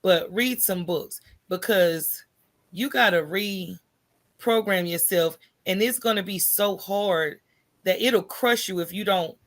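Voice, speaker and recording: An American woman talking as a podcast guest in an animated, colloquial storytelling way, candid and often checking in with "right?". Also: mid-range voice, slow pace.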